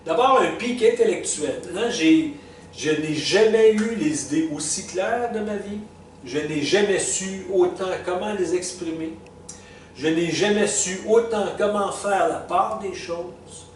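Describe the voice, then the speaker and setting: A man in his sixties with a Québécois French accent, thinking back over the stages of his life in a halting, casual way, full of fillers, restarts and small chuckles. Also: high voice, far from the mic. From Quebec. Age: 60s. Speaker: retired vlogger reflecting on life stages. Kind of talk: casual spoken reflection. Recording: echoey room, speaker well back from the mic, faint hiss.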